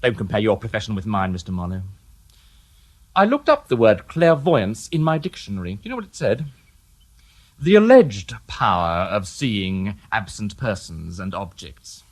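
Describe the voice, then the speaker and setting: Gruff sceptical inspector, sardonic, expressive pitch. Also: male voice, normal speed. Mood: sarcastic. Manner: blunt, dry and sarcastic. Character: gruff sceptical middle-aged police inspector. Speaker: detective inspector. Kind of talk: radio drama acting.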